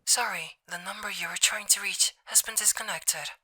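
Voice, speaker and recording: A woman's voice: medium pitch, moderate pace, sharp tone, breathy edge. A woman voicing an impersonal automated telephone operator, giving a formal recorded announcement in an audio roleplay.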